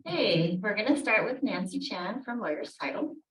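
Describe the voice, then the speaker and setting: A woman moderating a panel, briskly reading out questions. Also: medium pitch, animated, fairly bright tone. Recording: echoey room, distant mic.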